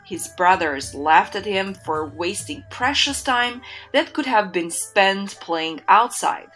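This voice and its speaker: A woman narrating a children's fairytale in an expressive storytelling manner. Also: medium pitch, slow, faint music behind, poor audio.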